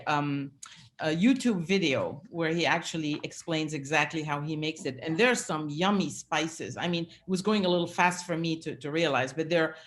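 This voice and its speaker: A woman who grew up in Switzerland after Lebanon, hosting a casual video-call chat in an effusive, halting way. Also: on the low side. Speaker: event host, champagne producer.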